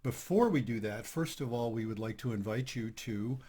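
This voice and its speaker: An older man hosting a couples therapy podcast, talking in a relaxed, chatty way with light self-deprecating humor. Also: medium pitch, moderate pace. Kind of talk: casual podcast chat.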